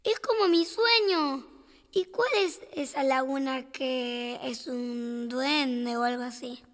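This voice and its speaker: A woman's voice: high-pitched, takes it slowly, a bit bright. A woman playing a sweet, curious little city girl in children's radio theater, wide-eyed and inquisitive, with an Argentine accent.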